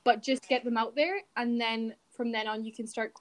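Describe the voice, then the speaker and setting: A woman's voice: on the high side, fairly even intonation, moderate pace. Young woman with a shifting Scottish-American accent. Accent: Scottish mixed with American. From Spain and Scotland. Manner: chatty, informal. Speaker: podcast guest, video creator. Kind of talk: casual chat.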